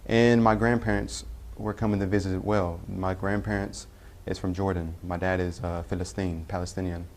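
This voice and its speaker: A young man from Tennessee, telling his personal story in a halting, unhurried way with many restarts. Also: deep voice, flat delivery, slight background noise. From Tennessee, USA. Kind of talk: personal testimony talk.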